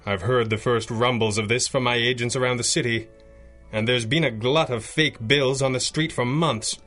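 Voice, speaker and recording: A man's voice: mid-range voice, fairly even intonation, moderate pace, fairly bright tone, close to an everyday voice. Male actor for resolute vigilante hero in radio drama: medium voice, formal, grave, faint music beneath. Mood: measured.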